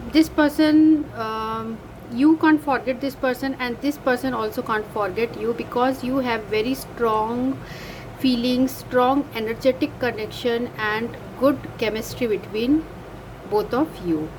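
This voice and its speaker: Woman, Indian English accent, Hindi native speaker, hesitant card reader, slow unhurried pace, noisy background. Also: on the high side. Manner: hesitant, many uh fillers and self-corrections. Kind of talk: casual card reading to camera.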